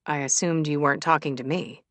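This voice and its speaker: A woman's voice: deep voice, fairly even intonation, fairly quick, fairly bright tone, no echo. A woman voicing a confident, matter-of-fact male number cruncher in an audiobook. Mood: businesslike. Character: reserved, businesslike, confident man, a numbers person.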